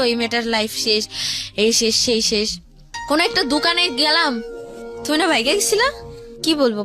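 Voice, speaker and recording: A young woman with a Bangladeshi accent telling her life story in a colloquial, conversational way, often voicing what others said. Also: a little high. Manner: colloquial, acts out quoted speech.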